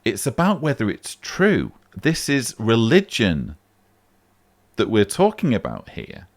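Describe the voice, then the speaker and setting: A man's voice: medium pitch, wildly swooping pitch, takes it slowly. A man hosting a vlog, answering a voicemail in emphatic, argumentative casual commentary. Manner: emphatic, argumentative, rising sharply on key points.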